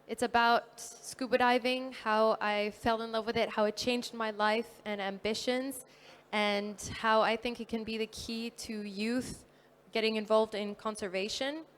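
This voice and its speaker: A woman's voice: fairly high pitch, steady tone, measured pace, clean tone. A fifteen-year-old girl from New Caledonia giving an earnest prepared talk at a dive expo, with fillers and small self-corrections. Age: teenager, fifteen. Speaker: youth speaker at a dive expo.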